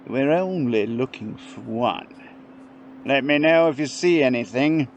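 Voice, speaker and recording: An actor playing a dry, dogged police detective in an audio play, talking in a gruff, casual, sardonic way. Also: male voice, mid-range voice, unhurried, lo-fi sound, close to an everyday voice. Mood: businesslike. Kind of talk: amateur audio play acting. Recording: faintly noisy home-device recording.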